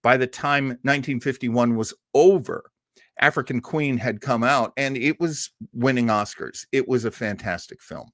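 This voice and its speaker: A man's voice: medium pitch, moderate pace, fairly bright tone, dry recording. A man hosting a live listening party, presenting casually in a chatty, enthusiastic way with dry humor.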